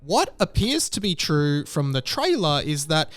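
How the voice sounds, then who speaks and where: A man's voice: a little high, very animated, measured pace, fairly bright tone, dry recording. A thirty-year-old man giving casual opinion commentary as a movie fan.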